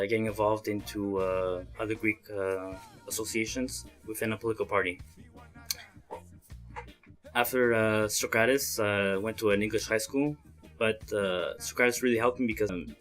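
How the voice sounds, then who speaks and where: Male student interviewee, early 20s, lowish voice, earnest but halting, faint guitar music behind. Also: a bit bright. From Montreal, Canada. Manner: halting, hesitant phrasing. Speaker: interviewee, student association chairman. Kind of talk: interview answers, earnest explanation.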